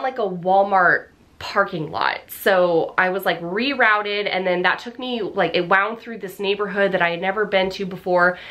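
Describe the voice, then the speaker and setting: Bright-voiced woman, slightly hoarse vlogger. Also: medium pitch. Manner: chatty, upbeat, many fillers and little laughs. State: slightly hoarse after losing her voice. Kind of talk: casual vlog chat. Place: home office at a desk. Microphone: camera on the desk facing her.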